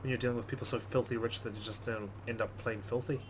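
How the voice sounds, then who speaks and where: A man's voice: medium pitch, level tone, quick pace, noisy background. A man hosting a let's play, reading game narration aloud with casual commentary.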